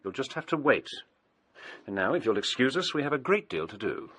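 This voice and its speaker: Fussy british scholar in a radio play, crisp forceful tone, lowish, very animated. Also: male voice, a little fast. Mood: indignant, fussy. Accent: British English. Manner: pedantic, precise. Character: Monty, scholarly, self-assured, fussy young gentleman. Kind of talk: British radio drama acting.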